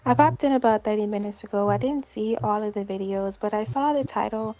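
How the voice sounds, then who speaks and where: A woman's voice: medium pitch, dark tone, a little hiss or hum, rough recording. A woman in her twenties from Detroit, whose first language was Algonquian, calling in and talking hesitantly and apologetically. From Detroit, United States. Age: 20s. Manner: hesitant, apologetic, many pauses. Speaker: caller. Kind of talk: call-in chat.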